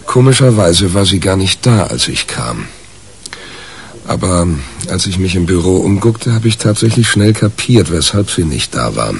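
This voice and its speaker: A man's voice: sits low, very animated, normal speed. An actor playing a wry, exasperated man in a 1980s radio crime drama, telling his tale dryly and hesitantly. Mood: wry recounting.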